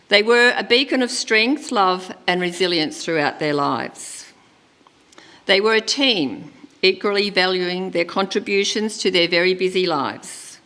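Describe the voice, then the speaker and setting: A woman reading a eulogy at a church funeral service in a gentle, measured way, speaking into a lectern microphone. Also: mid-range voice. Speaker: funeral celebrant.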